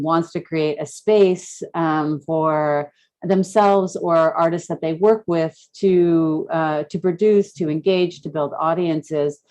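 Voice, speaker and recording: A woman's voice: deep voice, a little mellow. A woman speaking casually and reflectively as a guest in a panel talk, often searching for her words. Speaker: art gallery director and curator, guest speaker.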